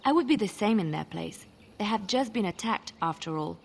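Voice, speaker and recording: Female fantasy companion, gentle, reflective, polite, crackly fry in the voice, light background noise. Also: mid-range voice, lively intonation, fairly quick. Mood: thoughtful. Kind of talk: fantasy game dialogue.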